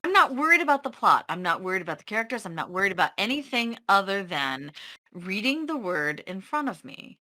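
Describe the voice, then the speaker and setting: Female, mid pitch, bright tone, highly expressive melody. Informal conversational read. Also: brisk. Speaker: participant in a script table read. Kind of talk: casual chat.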